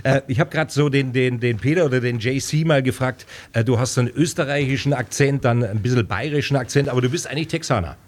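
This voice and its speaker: A male radio host in his fifties with an average-pitched voice. He runs a relaxed, conversational music interview in a chatty, joking way and likes to interject. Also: fast, few pauses. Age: 50s.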